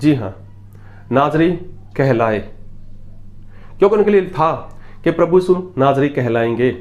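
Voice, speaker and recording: A man's voice: medium pitch, animated, unhurried, darkish tone, highs cut off. A man from Punjab, preaching a sermon in a repetitive, emphatic teaching style and asking rhetorical questions. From Punjab, India. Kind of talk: Hindi sermon with Bible readings.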